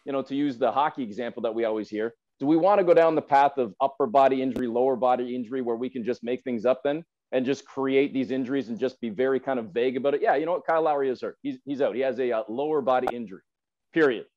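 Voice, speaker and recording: Fast-talking man, slightly dull call audio. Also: medium pitch, darkish tone. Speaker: podcast guest, radio play-by-play announcer. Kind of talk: sports discussion. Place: indoors at home, video call.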